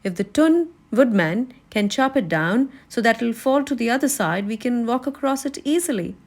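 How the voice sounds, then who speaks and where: A woman voicing a decisive scarecrow, pitched up. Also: lively intonation, normal speed. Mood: reasoning. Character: living male scarecrow, practical, brave and decisive.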